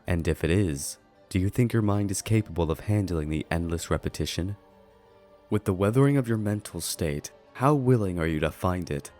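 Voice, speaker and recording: A man reading a scripted, analytical video review in a measured, deliberate way. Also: expressive, darkish tone. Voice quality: low-pitched voice. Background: background music.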